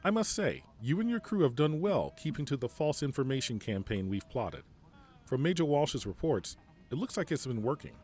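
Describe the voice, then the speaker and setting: A man playing a stern, principled army general in an audio drama, speaking formally and deliberately. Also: medium pitch, big pitch swings, a little mellow, close to an everyday voice. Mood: dry, commanding. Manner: formal, measured, deliberate speech. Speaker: military general giving a radio interview.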